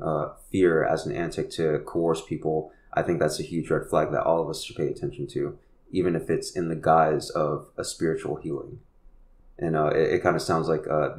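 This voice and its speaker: Very deep-voiced man in an echoey room. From United States. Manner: earnest, rambling, repeats words. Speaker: podcast host. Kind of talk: casual conversation.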